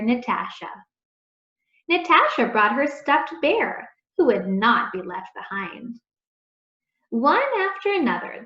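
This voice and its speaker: Woman reading a children's story, high-pitched and animated. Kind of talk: expressive read-aloud storytelling for children. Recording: roomy, slightly dull muffled sound.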